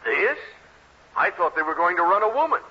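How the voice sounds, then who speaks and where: A man's voice: high voice, very animated, fairly quick, background noise. An actor playing a wry, officious henchman and examiner in a 1940s radio comedy, speaking in a dry, formal way. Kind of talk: 1940s radio sitcom acting. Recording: dull 1940s radio recording.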